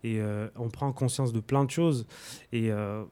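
A young Italian man with an Italian accent, a musician answering interview questions in a halting way, full of fillers and restarts. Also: medium pitch, fairly even intonation, mellow, clean tone. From Italy. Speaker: musician guest.

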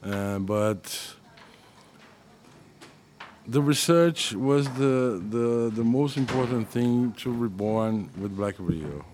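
A man with a Brazilian accent, a musician answering interview questions in halting English with many restarts, his first language being Portuguese. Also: medium pitch, expressive, a little mellow, slight background noise. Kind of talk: casual interview.

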